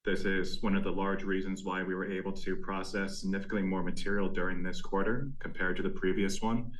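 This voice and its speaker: A man speaking as a company executive, delivering a prepared earnings presentation in a measured, formal way. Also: low voice, low-quality recording. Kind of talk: prepared corporate presentation, scripted narration.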